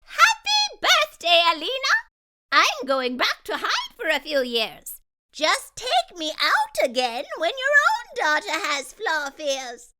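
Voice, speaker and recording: A woman's voice: extremely high pitch, wildly swooping pitch, takes it slowly, sharp tone, pitched above the performer's own voice, full character voice. A woman voicing a graceful, kindly magic fairy in a storybook, reassuring and theatrical in a sing-song way. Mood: cheerful.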